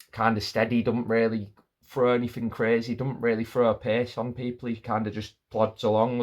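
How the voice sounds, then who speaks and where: A man talks through his fight picks in a loose, rambling way. He trips over names, restarts his sentences and leans on filler words as he thinks out loud. His voice sits at an ordinary middle pitch. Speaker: MMA fight predictor. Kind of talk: casual fight-pick commentary.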